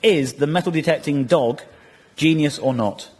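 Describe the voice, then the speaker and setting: A man hosts a radio comedy show in a noisy live recording. His voice sits a little high as he addresses guests and listeners. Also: moderate pace, a little mellow. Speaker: comedy panel show presenter.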